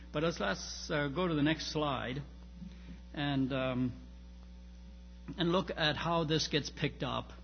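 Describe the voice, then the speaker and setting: Male academic lecturer, slightly gravelly, emphatic but halting delivery, speaking in a reverberant hall with some background noise. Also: medium pitch, lo-fi sound. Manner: halting, searching phrasing with repeated starts and emphatic stresses. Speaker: lecturer on church history and theology. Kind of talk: informal academic lecture with slides.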